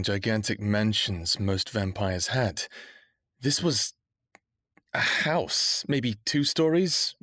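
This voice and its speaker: A man's voice: lowish voice, fairly bright tone, dry recording, studio quality. A man playing a defiant, bitter young human captive in a vampire audio drama, with sardonic, brooding, profane delivery, both narrating and speaking. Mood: tense, brooding. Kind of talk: first-person audio drama narration and dialogue.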